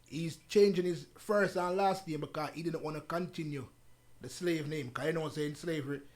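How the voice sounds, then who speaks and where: A man from the UK with a Jamaican accent, the guest on an interview show, telling stories in a relaxed, patois-laced way. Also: a little high, moderate pace. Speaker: guest artist. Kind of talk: casual interview chat.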